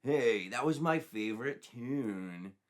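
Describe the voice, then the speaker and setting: A man voicing a smug, lustful young boyfriend who draws his words out slowly in a comic storybook read-along. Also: mid-range voice, animated, pitched above the performer's own voice. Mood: dismissive.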